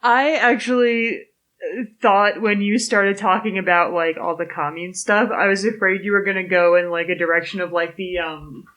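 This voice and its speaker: A woman's voice: medium pitch, steady tone, normal speed, fairly bright tone. A woman chatting casually as a panelist on a podcast.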